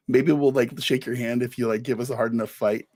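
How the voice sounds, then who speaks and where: A man who is sick and hoarse, talking in a deep raspy voice as a laid-back podcast guest. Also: fairly even intonation, moderate pace, darkish tone, dry recording. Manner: laid-back, swears casually. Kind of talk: casual chat.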